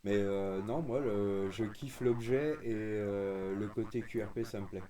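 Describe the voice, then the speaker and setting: A man's voice: on the low side, steady tone, measured pace, dark tone. A man chatting casually and enthusiastically as a radio hobbyist, in an informal way. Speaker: radio amateur streaming a group conversation.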